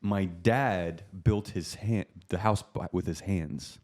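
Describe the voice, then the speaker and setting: A man's voice: sits low, a little mellow. A man from Portugal, a podcast guest telling stories in a chummy manner. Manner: brisk and chummy, says "bro" a lot. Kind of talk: casual storytelling.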